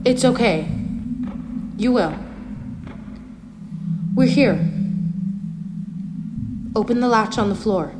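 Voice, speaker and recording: An actress playing a serene, eerie young cultist daughter in an audio play, speaking in a calm, measured, coaxing way. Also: female voice, on the high side, very noisy. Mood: calm, insistent. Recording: loud music behind. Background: loud music.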